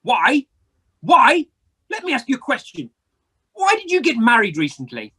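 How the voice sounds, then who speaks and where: A man playing a grumpy, miserly old uncle in an amateur radio play, raspy, curt and dismissive. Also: extremely high pitch, wildly swooping pitch, moderate pace, bright, forceful tone, full character voice. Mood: mocking, dismissive.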